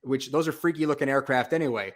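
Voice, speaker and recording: A man's voice: mid-range voice, quick pace. A man hosting a call-in show, chatting and telling stories casually with emphatic, slangy, informal phrasing. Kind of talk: casual chat, storytelling.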